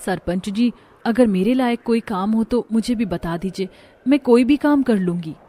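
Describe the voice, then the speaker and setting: A woman's voice: on the high side, normal speed, mellow, lightly acted. A woman voicing a humble, hardworking young daughter-in-law in a cartoon story, earnest and plaintive. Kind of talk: Hindi cartoon story character.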